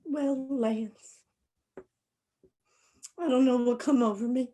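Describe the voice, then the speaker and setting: An actress playing a wistful, emotional rural sister with a folksy Southern US drawl in a stage play. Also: female voice, sits high, steady tone, slow pace, mellow. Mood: wistful, nervous.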